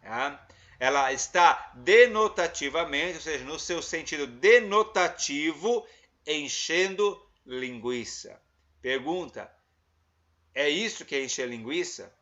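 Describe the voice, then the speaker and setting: A man's voice: fairly high pitch, lively intonation, slow, a bit bright. A man teaching a recorded online class, explaining points and asking his listeners rhetorical questions. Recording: slightly muffled, lo-fi recording.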